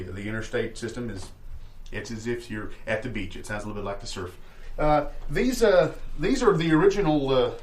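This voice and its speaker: A man playing a rambling, folksy landlord who pitches a shabby rental in improvised comedy, hedging and trailing off mid-sentence. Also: a little hiss or hum, natural voice. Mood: evasive, then salesmanlike. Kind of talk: improvised comedy audio theatre.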